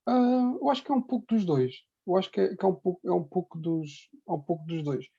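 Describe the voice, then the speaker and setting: A man's voice: high-pitched, fast talker, gravelly, warm tone, husky, highs cut off. A man with a European Portuguese accent talking casually as an interview guest, rambling and repeating his phrases. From Ribatejo, Portugal. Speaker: interview guest, emigrant hospital worker. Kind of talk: casual conversational testimony.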